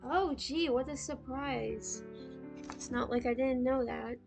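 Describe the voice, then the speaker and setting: A woman's voice: high voice, takes it slowly, creaky. A young woman giving casual live commentary as a game streamer. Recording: loud game music behind voice. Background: game music.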